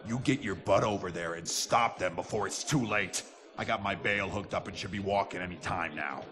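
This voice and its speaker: A man playing a gruff, bitter, hot-tempered older skater in a video game cutscene. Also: low voice, normal speed, fairly bright tone. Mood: menacing.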